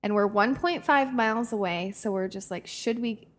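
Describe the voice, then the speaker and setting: A woman's voice: a little high, normal speed. A young woman co-hosting a podcast, telling personal stories in a slangy, self-deprecating way and acting out quoted remarks. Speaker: podcast co-host. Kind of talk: casual chat, telling personal anecdotes.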